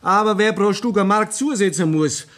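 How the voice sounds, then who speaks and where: An actor with a Bavarian accent playing a wily, argumentative livestock dealer in a radio play. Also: male voice, high voice, normal speed, a bit bright. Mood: wily, defensive. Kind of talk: 1960s Bavarian radio comedy-drama dialogue.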